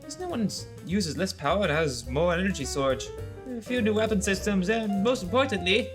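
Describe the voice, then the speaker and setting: A man's voice: sits high, lively intonation, a little fast, faint music behind. A man playing a courteous ship's doctor and inventor in an audio drama, speaking in precise, formal words. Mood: explaining.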